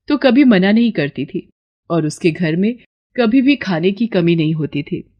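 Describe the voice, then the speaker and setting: A woman's voice: mid-range voice, darkish tone, lo-fi sound. A woman narrating a scripted moral story for children as a storyteller.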